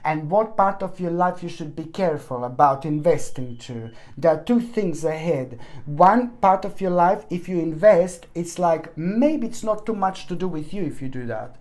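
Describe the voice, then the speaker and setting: A woman's voice: lowish voice, animated, normal speed, few pauses, darkish tone. A woman talks through a tarot reading with earnest emphasis. She repeats her points, trails into fillers and restarts, and calls her viewers fond pet names. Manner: earnest, repeats herself, addresses viewers with endearments. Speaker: tarot reader. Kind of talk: weekly tarot reading monologue to camera.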